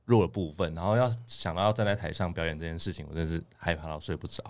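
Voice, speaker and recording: A man's voice: deep voice, fairly even intonation, quick pace. A young man with a Taiwanese Mandarin accent, speaking casually as an interview guest. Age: young adult. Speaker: guest, idol trainee.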